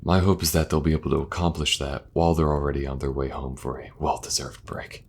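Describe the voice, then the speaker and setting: A man playing a weary, dutiful king in a fantasy audio roleplay, with a grave, deliberate, regal manner. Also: very deep voice, level tone, creaky, a little mellow, heavily acted. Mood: weary, resolute.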